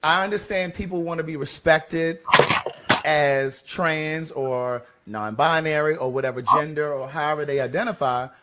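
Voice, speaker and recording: A man with a slightly higher voice speaks earnestly on a casual podcast. He lays out his view in a measured, explanatory way. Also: very noisy. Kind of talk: casual opinion chat.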